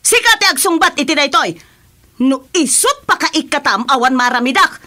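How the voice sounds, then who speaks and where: A woman's voice: high-pitched, expressive, normal speed, bright, forceful tone. A woman with an Ilocano accent playing a bossy, exclamatory female village captain in a radio comedy drama. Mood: scolding. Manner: exclamatory, scolding.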